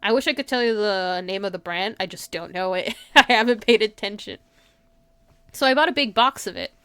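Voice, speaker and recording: A woman's voice: mid-range voice, a bit bright, smooth, no room sound. A woman livestream host chatting casually with her viewers in an informal, giggly way, saying "like" often. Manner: giggly, informal, frequent filler "like".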